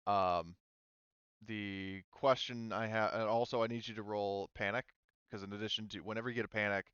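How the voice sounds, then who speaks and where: A man with a lowish, very clear voice runs a tabletop role-playing session as game master. He thinks aloud in halting stretches. The recording is dry and slightly muffled. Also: fairly even intonation, a bit bright, no echo.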